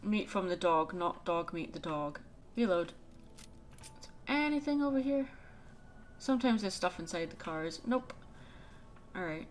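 A woman's voice: lowish voice, animated, a little hiss or hum. A young woman hosting a game let's play, chatting casually and narrating her own moves. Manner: chatty, self-narrating, quick laughs. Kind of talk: casual gameplay commentary.

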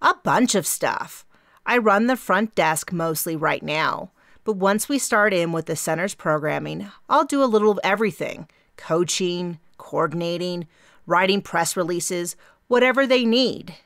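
A woman's voice: fairly low pitch, lively intonation, fairly bright tone, no echo, studio quality. Woman doing a bubbly chatty young receptionist from Minnesota. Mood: eager. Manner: fast, clipped, round vowels, bouncy.

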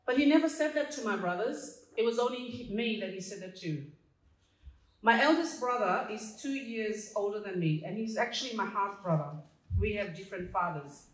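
A woman from Fiji in her fifties speaks at a stand mic on a small stage, telling her story with playful asides and laughter. Her middle-pitched voice carries a roomy hall echo. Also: normal speed. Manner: humorous, laughs at her own jokes. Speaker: community association president. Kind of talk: personal speech read from notes. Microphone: stand mic, filmed from a distance.

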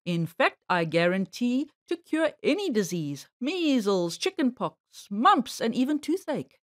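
Woman voicing a sly, slow-drawling storybook bear. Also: a little high, sing-song, dry recording, clean studio sound. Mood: coaxing, boastful. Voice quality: harsh. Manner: coaxing, drawn-out, boastful. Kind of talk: children's storybook character voice.

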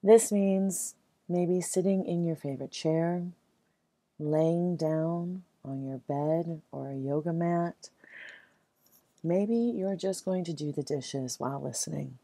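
Slow, low, soft-toned woman guiding meditation. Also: dry recording. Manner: soothing, gentle, measured with long pauses. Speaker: guided meditation leader. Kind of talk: guided meditation.

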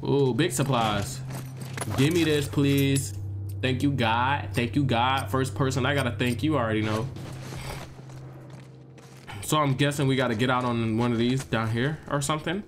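A young man with a stuffed-up nose giving animated, joking gameplay commentary to his viewers. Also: medium pitch, moderate pace, pauses often, over music. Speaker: gaming streamer.

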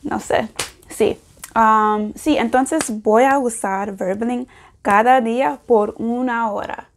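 Young woman, English native speaker, learner Spanish, very slow, slightly high voice, halting with fillers, self-correcting, home room, lapel mic. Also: steady tone, a little hiss or hum. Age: young adult. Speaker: language learner vlogger. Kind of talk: casual video diary in learner Spanish.

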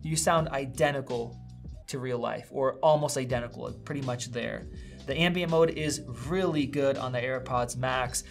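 A man's voice: medium pitch, normal speed, vocal fry, faint music behind. A man in his thirties casually reviewing headphones, thinking aloud as he tries them on at a desk in a small studio room. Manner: upbeat, conversational, thinking aloud while testing. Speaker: tech reviewer. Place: small indoor studio room with a vent running.

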